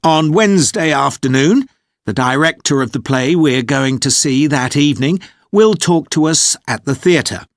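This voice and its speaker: An organised tour leader, courteous and measured, with a fairly high, lively voice. He explains arrangements politely in a scripted briefing, recorded close and dry. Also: no room sound. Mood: polite, informative. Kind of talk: scripted informational monologue for an English listening test.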